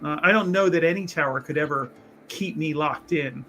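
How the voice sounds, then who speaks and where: A man speaking in character at a tabletop game, boastful and halting, with frequent fillers. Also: on the high side, sharp tone, a little hiss or hum. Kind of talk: in-character roleplay.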